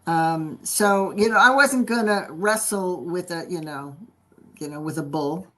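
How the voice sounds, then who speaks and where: A woman's voice: fairly low pitch, measured pace, fairly bright tone. A woman with a New Jersey accent, an astrologer chatting on a video call, hesitant and full of fillers. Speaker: astrologer guest. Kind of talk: casual chat.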